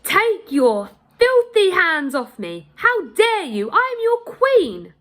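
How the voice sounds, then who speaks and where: A woman voicing a proud queen who is being dragged away, shouting indignantly in a high, loud voice. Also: huge pitch swings, slow pace, full character voice. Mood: outraged.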